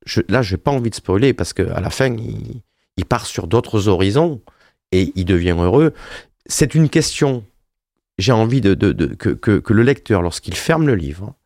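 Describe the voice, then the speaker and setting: A man's voice: on the low side, expressive, moderate pace, gravelly, darkish tone, dry recording, clean studio sound. A man in his fifties, an author being interviewed on radio, talking with many hesitations and restarts. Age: 50s. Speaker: author and wine journalist.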